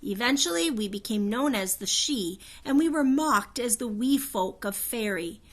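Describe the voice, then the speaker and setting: A woman voicing a regal fairy queen in a children's storybook, proud and solemn, with a high, clear, stately voice. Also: animated, moderate pace. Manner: stately, declamatory. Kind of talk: children's storybook read-aloud.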